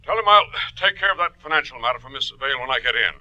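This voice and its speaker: Sharp, bossy businessman with a cold. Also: mid-range voice, expressive, fast talker, lo-fi sound. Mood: ailing, bossy. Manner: commanding, curt instructions. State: coughing with a cold. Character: sick, self-important businessman laid up with a cold. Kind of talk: 1940s radio acting.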